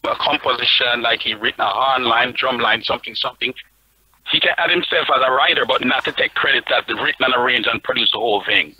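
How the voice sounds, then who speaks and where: A man's voice: medium pitch, quick pace, pushed and bright, husky, dry recording, rough recording. A man on a radio panel giving blunt, emphatic opinions with indignant force.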